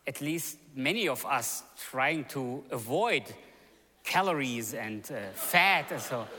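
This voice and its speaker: A man preaches in a chapel, his voice bright and lively, swinging high and dropping low. He tells stories animatedly and sometimes stops to hunt for an English word. The sound rings with the long reverb of a large hall. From born in Russia, grew up in Germany. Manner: animated storytelling, sudden loud rises and soft drops, searches for words. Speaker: seminary chapel speaker. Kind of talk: sermon.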